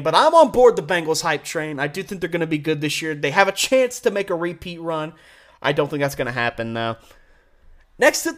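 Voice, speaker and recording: A man's voice: sits high, fairly bright tone. An American man hosting a solo sports show, giving blunt, opinionated commentary and game predictions.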